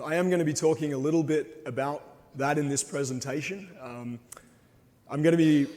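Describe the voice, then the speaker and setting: Slightly hoarse man, earnest and halting, with a long hall reverb around his voice. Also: mid-range voice, a little mellow. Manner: hesitant, frequent ums, earnest. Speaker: conference speaker. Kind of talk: presentation talk with slides. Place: conference hall.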